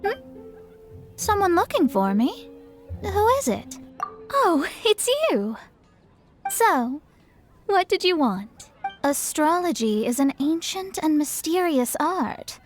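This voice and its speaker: Haughty, high-voiced young astrologer. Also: female voice, sing-song, slow, long pauses, faint music behind, heavily acted. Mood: curious. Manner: haughty, self-important. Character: proud young female astrologer, haughty and brisk. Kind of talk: video game voice acting.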